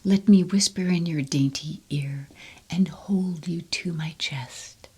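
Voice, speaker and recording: A woman voicing a lovesick male turtle who pleads softly in a whisper. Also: on the low side, slow pace, slightly rough, exaggerated voice. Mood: tender pleading.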